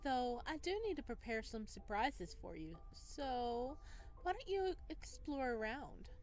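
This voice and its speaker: A woman's voice: a little high, lively intonation, unhurried. A woman voicing a playful, flirtatious and possessive male dream being from a visual novel, with teasing endearments. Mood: teasing, doting. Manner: teasing, doting, theatrical endearments. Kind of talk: visual novel character read-aloud. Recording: background music behind voice.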